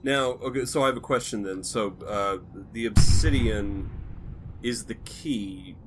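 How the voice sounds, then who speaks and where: Low voiced dude player asking rule questions, stumbly, noisy mic hiss. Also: male voice. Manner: halting, asks careful questions with restarts. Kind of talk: tabletop game chat.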